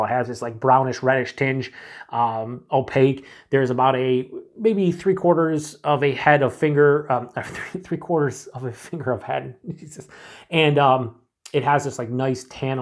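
A middle-aged man reviewing a beer alone to camera in a small room. He talks in a chatty, excited way, swears freely and sometimes drops to breathy whispers. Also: mid-range voice. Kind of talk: casual solo review to camera.

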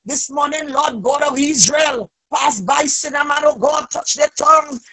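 A woman leading a fervent, extemporaneous church prayer, pleading with repeated invocations and a raspy voice that swells into loud cries. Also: high-pitched, unhurried.

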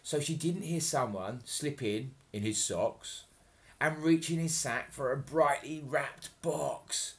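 A man's voice: on the high side, slow pace. A man in his thirties reading a children's picture book aloud expressively, with playful, chatty asides to explain the story. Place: home kitchen, indoors. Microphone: webcam at arm's length.

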